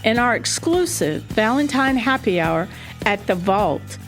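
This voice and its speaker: A woman's voice: fairly high pitch, expressive, measured pace, faint music behind. A woman presenter reading a scripted segment introduction.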